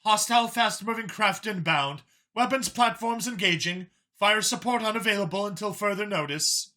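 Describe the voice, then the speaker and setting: A calm female military comms officer, voiced by a male narrator reports in clipped, disconnected phrases. The voice is lifted well above a middle male pitch and sounds bright, as in a sci-fi audiobook. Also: moderate pace. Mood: calm, detached.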